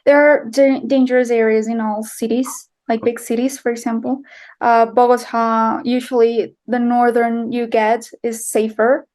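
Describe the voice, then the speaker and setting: A young Colombian woman speaks English with a Colombian accent, in a fairly high voice. She answers in loose, chatty bursts, with plenty of fillers, restarts and easy laughs. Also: level tone, moderate pace, a little mellow. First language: Spanish. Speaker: guest, writer and filmmaker. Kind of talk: casual interview answers.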